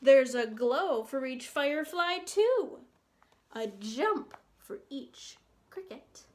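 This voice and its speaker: A woman's voice: high-pitched, animated, takes it slowly, a little mellow. A woman storyteller presenting picture books for young children, speaking to them directly and playfully. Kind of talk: picture-book story time with read-alouds and sing-along.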